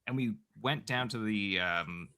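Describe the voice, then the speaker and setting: A man's voice: on the low side, unhurried, bright, forceful tone. A man co-hosting a film podcast, chatting casually and telling stories. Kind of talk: casual chat.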